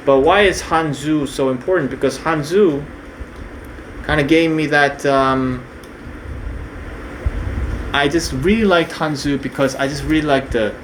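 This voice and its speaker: A man's voice: fairly high pitch, unhurried, pauses often, slight background noise, low-quality recording. A young man from Korea, partly raised in New Zealand, talking off the cuff in a casual monologue, with many fillers and restarts. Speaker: video creator.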